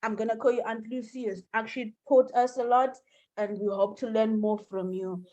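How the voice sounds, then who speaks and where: A woman's voice: a little high, fairly even intonation, normal speed, darkish tone. A woman from Zimbabwe, a mental health and epilepsy advocate, speaking passionately on a panel call. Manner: passionate, emphatic. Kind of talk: panel discussion on video call. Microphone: video call. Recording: dull video call audio.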